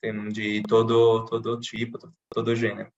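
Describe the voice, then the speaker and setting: A man from Italy speaking with an Italian accent, chatting casually in a podcast interview with many pauses and restarts. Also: fairly low pitch, monotone, lo-fi sound, a little hiss or hum. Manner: hesitant, many restarts and fillers. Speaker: interviewee, channel host.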